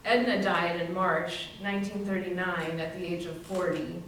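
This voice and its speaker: A woman's voice: lowish voice, fairly even intonation, normal speed, a bit bright. Woman narrating, heavily echoing room. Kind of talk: scripted narration in readers theater. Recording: distant mic, very echoey room, faint noise.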